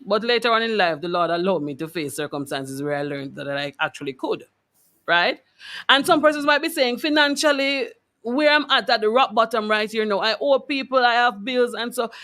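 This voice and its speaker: A woman hosting a live radio discussion in a conversational, deliberate way, rephrasing points for clarity. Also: fairly high pitch, fairly bright tone. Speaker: radio show host.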